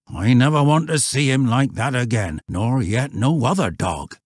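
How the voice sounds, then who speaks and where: A man's voice: mid-range voice, expressive, moderate pace, husky, dry recording, pitched above the performer's own voice. Man voicing a stern old rustic shepherd, dialect-heavy, dry close sound. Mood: grave. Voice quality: broad country dialect speech. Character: gruff old rural shepherd, grave and warning.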